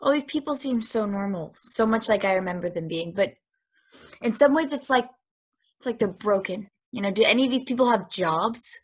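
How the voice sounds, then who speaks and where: Uneasy young woman, read by an actress, husky, halting, video call. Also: medium pitch, expressive. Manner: hesitant, full of fillers.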